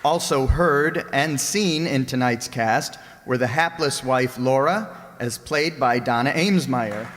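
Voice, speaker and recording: A man's voice: mid-range voice, a little slow, crowd noise. A man announcing a recreated old-time radio show in a scripted style. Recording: echoey hall with noticeable noise.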